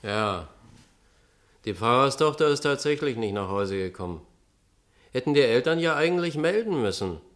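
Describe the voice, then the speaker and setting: Actor as a gruff, mid-pitched police officer. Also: male voice, animated, normal speed, long pauses, a bit bright, natural voice. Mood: dismissive. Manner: colloquial, dismissive. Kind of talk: German radio play acting.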